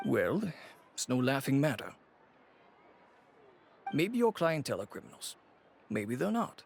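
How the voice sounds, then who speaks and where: An actor playing a weary, pragmatic middle-aged male merchant in a game, husky and measured with a theatrical turn. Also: mid-range voice, wildly swooping pitch, slight background noise, heavily acted. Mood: grave, cautionary. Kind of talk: animated game voice acting.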